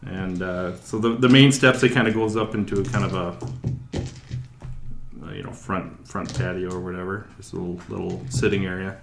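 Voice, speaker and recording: A man narrating as dungeon master, describing scenes in a relaxed way with many fillers. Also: low-pitched, moderate pace, creaky, roomy sound, over music. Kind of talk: narrating a tabletop game.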